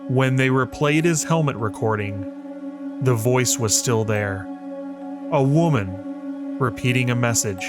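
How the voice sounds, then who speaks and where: Slow-speaking male suspense narrator over loud music. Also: medium pitch. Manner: deliberate, dramatic pauses. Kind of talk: scripted suspense story narration. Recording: prominent music under the voice.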